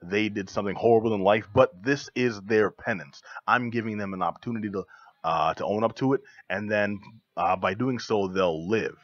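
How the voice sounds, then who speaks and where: A hoarse man with bronchitis, joking around as co-host of a live radio show from a studio. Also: fairly low pitch, moderate pace, no echo, dull audio. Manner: joking, playful wordplay. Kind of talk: casual radio banter.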